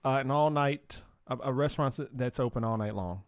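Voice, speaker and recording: A man's voice: mid-range voice, brisk, no echo, studio quality. A man hosting a comedy podcast in casual, bantering talk. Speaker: podcast host.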